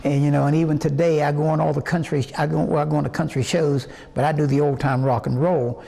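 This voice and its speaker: A man in his eighties from West Virginia, a singer telling his life story in an interview in a halting, rambling way full of fillers and restarts. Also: a little high, level tone, vocal fry, warm tone. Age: 80s. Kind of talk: reminiscing interview answers.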